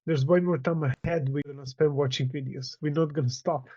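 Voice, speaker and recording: A man chats easily on a video call, agreeable and relaxed. His voice is mellow and a touch raised in pitch. He sounds dry and a bit far off through dull call audio. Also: a little fast, slightly rough, no echo. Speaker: call participant. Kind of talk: casual chat.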